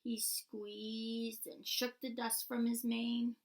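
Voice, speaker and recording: Unhurried woman storyteller with creaky edges. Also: on the high side, little pitch movement. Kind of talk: expressive children's story read-aloud.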